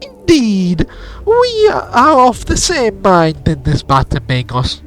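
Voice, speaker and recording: A man with a cold-roughened, raspy voice plays a fussy male priest, speaking slowly and formally. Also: high-pitched, big pitch swings, fairly bright tone, faint music behind, voice pushed up, exaggerated voice. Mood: solemn.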